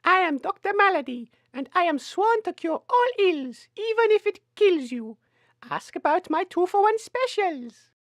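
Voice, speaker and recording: A woman's voice: extremely high pitch, very animated, moderate pace, no room sound, clean studio sound. A woman playing a cheerfully menacing quack lady doctor with French phrases in a theatrical comedy sketch. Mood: proud. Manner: theatrical, politely sinister. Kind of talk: audio sketch comedy acting.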